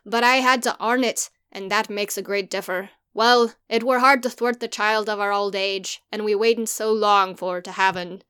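A woman's voice: medium pitch, fairly even intonation, bright, forceful tone, clear, resonant tone, voice pushed up, lightly acted. A woman voicing a worried old farmer. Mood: brooding. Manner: slow, earnest, broad dialect.

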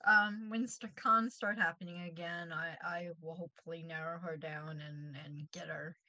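Female co-host, New York accent, bright forward voice, chatty and informal, close dry sound. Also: fairly low pitch, steady tone, normal speed, no echo, dull audio. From New York, United States. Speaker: show co-host. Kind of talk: casual chat.

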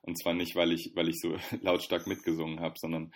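Deep-voiced young man, halting casual talk. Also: flat delivery. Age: young adult. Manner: hesitant, many fillers and restarts, wry. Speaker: podcast co-host. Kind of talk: casual chat.

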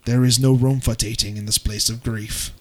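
A man voicing a grieving single mother in a theatrical, solemn English accent, with drawn-out pauses, as a dramatic reading. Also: mid-range voice, flat delivery, voice pushed down, close to an everyday voice. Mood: grieving, resigned. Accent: English, put on.

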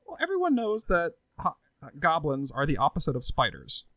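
A man's voice: on the high side, wildly swooping pitch, normal speed, fairly bright tone, no echo. A man co-hosting a casual podcast, riffing playfully on wordplay. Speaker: podcast co-host.

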